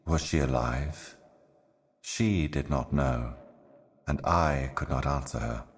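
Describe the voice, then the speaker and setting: A man voicing an ancient, weary otherworldly envoy in a video game, delivering cryptic poetic monologues in a grave, brooding, measured manner. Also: a bass voice, full character voice. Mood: solemn, cryptic. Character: ancient solemn otherworldly envoy, weary eternal servant of cosmic darkness.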